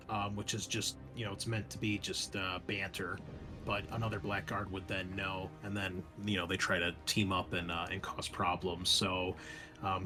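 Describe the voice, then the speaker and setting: A man's voice: on the low side, fairly bright tone. Man hosting a tabletop game, rambling casually. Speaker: game master and host.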